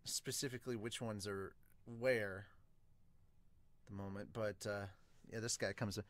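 Informal man reacting out loud, dry recording. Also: lowish voice, fairly even intonation, a little slow, no room sound. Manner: informal, exclamatory, breaking off into asides. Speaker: manga reviewer and podcast host. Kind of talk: casual reaction commentary with improvised manga dubbing. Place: small furnished room at home.

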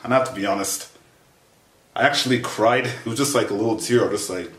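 A young man giving a casual, animated spoken review to camera in a conversational, slangy way. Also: fairly low pitch, fast talker, reverberant. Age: young adult. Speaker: film reviewer. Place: small wood-furnished bedroom, indoors.

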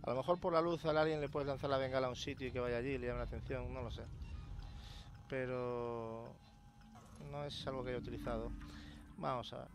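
A man's voice: mid-range voice, steady tone, brisk, background noise. A man with an accent from Spain doing casual gameplay commentary. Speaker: gaming YouTuber. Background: game ambience.

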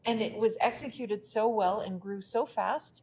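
A woman's voice: mid-range voice, brisk, darkish tone. A middle-aged woman giving casual explanations in an interview, thinking aloud as she goes. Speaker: interview guest, bookkeeper. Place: classroom, indoors. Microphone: lapel mic. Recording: clear background room noise.

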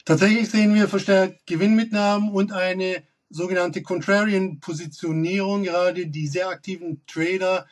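A man's voice: high voice, fairly even intonation, moderate pace, few pauses, far from the mic. German-speaking man analyzing markets, a little off-mic. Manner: analytical, many fillers and restarts. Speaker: derivatives expert guest. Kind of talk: financial market commentary. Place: office with glass wall.

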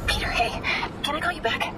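A woman's voice: a little fast, background noise, natural voice. An actress playing a guarded young female investigator in a video game, clipped and matter-of-fact. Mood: hushed, intrigued. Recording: loud music behind.

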